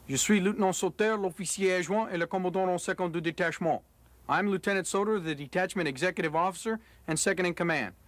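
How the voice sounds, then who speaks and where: High-voiced male military officer, reciting. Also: fast talker, dry recording. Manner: formal, recited. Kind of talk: formal scripted self-introduction.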